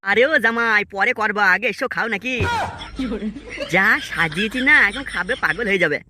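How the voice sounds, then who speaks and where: A man's voice: high voice, moderate pace, close to an everyday voice. A man voicing a coaxing, chummy village layabout in a comic cartoon, slangy, with a West Bengal accent. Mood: eager. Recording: slightly noisy cartoon soundtrack.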